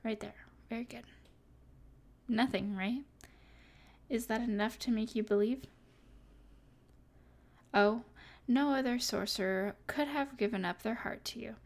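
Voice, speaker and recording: A woman playing an evil sorceress, possessive and tenderly devoted, speaking softly and deliberately in an intimate ASMR roleplay. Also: a little high. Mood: smug, possessive. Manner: soft, deliberate, soothing yet possessive.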